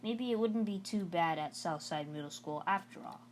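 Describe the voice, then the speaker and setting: A woman's voice: on the low side, moderate pace, a little hiss or hum. A woman narrating a children's novel aloud in a storybook read-aloud style.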